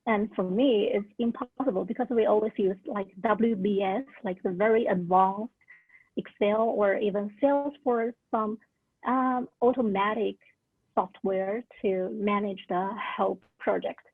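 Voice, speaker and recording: A woman's voice: medium pitch, unhurried, breathy edge, dry recording. A young woman in her twenties, not an English speaker, earnestly sharing career tips on a video call, with many "like" and "uh" fillers. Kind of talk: casual talk at a virtual orientation. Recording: narrow tinny call audio.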